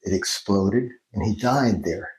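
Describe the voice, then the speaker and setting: An older man from Mexico speaks with a Mexican accent and a fairly low voice. He tells his stories at an unhurried pace and keeps restarting his sentences. The room around him has a noticeable echo. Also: a little mellow. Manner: unhurried, restarts often, laughs mid-sentence. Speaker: guest, Jungian analyst. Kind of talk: storytelling interview answers.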